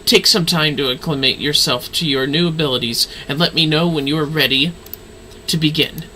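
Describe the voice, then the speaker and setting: A man voicing an earnest, stern young astrologian mentor, reading fantasy game dialogue aloud in a formal, measured, instructive way. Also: on the high side, bright, forceful tone, low-quality recording. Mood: earnest, solemn. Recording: faint background noise.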